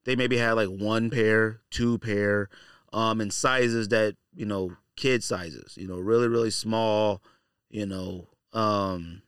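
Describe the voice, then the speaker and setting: A man's voice: lowish voice, fairly even intonation, slow pace, a bit bright, clear, resonant tone, dry recording, clean studio sound. A middle-aged man hosting a casual podcast, giving opinionated, slangy monologue and repeating his points for emphasis. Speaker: podcast host.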